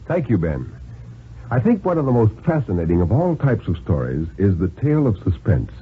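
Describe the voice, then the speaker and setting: Expressive American radio storyteller, lively male voice. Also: on the low side, moderate pace, slight background noise. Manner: expressive, dramatic narration. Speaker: storyteller reading thriller tales. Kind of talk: 1950s radio dramatic reading. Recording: narrow 1950s radio, music behind.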